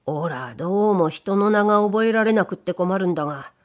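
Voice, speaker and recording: A woman voicing a rough-spoken old craftsman who talks bluntly. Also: mid-range voice, a little fast, close to an everyday voice. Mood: gruff.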